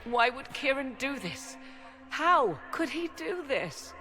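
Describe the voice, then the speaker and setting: High, slow, dramatic witch woman. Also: very animated, pushed and bright, soft background music, full character voice. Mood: anguished. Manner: archaic, dramatic, formal. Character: proud, theatrical witch woman, anguished mother. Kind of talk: video game character acting.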